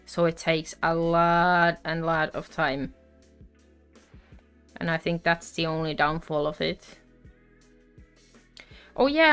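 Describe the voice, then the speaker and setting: Lady hosting a crochet live, chatty w lots of uhs, smooth lowish voice, faint music behind. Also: fairly bright tone. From Finland. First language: Finnish. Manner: chatty and unhurried, with frequent fillers. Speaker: crochet livestream host. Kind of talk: casual live crafting chat.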